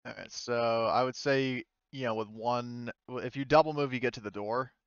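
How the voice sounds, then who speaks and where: A man's voice: mid-range voice, fairly even intonation, normal speed, smooth, no room sound, muffled recording. A man running a tabletop roleplaying game as game master, talking casually and hesitantly and swearing freely.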